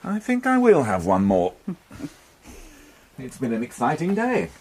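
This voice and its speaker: A man's voice: mid-range voice, huge pitch swings, measured pace, a little mellow, slightly rough, full character voice. A man playing a sardonic, world-weary, middle-aged police inspector in a British radio crime drama, with dry, biting delivery. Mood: wry, relaxed.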